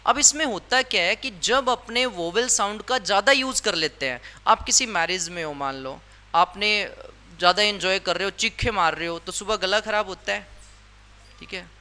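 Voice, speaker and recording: Guy teaching grammar to a class, sharp bright voice, keeps asking questions and repeating stuff. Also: high voice, normal speed. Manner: repetitive, explains step by step and often asks the class questions. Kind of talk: classroom lesson explanation.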